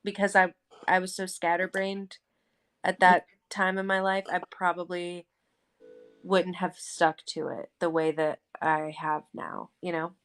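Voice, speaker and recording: Rambling woman in her twenties, lowish voice. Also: flat delivery, unhurried, a bit bright. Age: 20s. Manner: rambling, heavy use of "like" and "you know". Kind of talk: casual chat.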